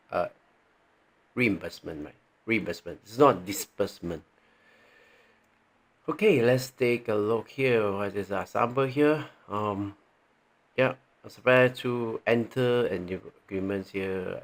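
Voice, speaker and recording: A man with a Malaysian accent giving an informal tutorial, thinking aloud haltingly and often checking in with the listener. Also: deep voice, creaky. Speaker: tax training presenter. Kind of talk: informal tutorial walking through on-screen examples.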